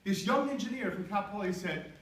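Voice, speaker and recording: High-voiced older man telling a tall tale. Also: brisk. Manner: animated, breaks into song snippets. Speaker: contest speaker. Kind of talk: humorous storytelling speech. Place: auditorium stage before an audience. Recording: echoey hall from far off, faint hiss.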